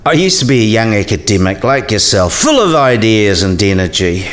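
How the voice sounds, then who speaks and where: A man's voice: on the low side, very animated, normal speed. An actor playing a disillusioned but easygoing senior male professor, frank and world-weary, in a radio play.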